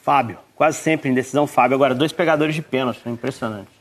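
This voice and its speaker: A man with a Brazilian accent, a football pundit with joking, quick remarks. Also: medium pitch. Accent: Brazilian Portuguese.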